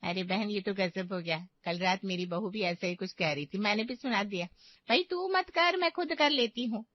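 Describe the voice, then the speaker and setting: A woman's voice: mid-range voice, lively intonation, normal speed, dry recording, natural voice. Sarcastic, melodramatic mother-in-law for a cartoon, acted by a woman, middle-aged, dry muffled sound. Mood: smug. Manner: taunting, melodramatic. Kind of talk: cartoon moral story acting.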